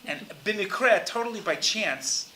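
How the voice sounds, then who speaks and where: Male teacher, earnest intro, echoey room, noisy background, somewhat high voice. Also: animated, normal speed, sharp tone. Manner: earnest, with frequent uh fillers. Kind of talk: informal introduction to students.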